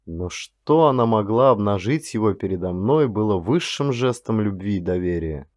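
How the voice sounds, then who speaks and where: Man narrating with animated, swinging pitch. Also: normal speed, no room sound, clean studio sound. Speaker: audiobook narrator, actor. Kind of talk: scripted dramatic first-person audiobook narration.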